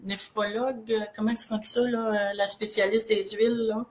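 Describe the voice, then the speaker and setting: A woman with a Québécois accent and a head cold, chatting casually as a guest and telling her own health story in a rambling, halting way. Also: on the high side, little pitch movement, poor audio. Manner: rambling, halting, full of restarts. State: has a cold, congested. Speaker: guest sharing product testimonial. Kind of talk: casual live chat.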